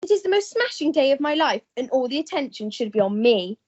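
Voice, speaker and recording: A gushing, self-centred young English heiress voiced by a woman in a comic radio melodrama. Her voice sits very high and she plays everything melodramatically and posh. The recording is slightly dull. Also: very animated, a little fast, a little mellow, breathy edge, heavily acted. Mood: indignant, self-important.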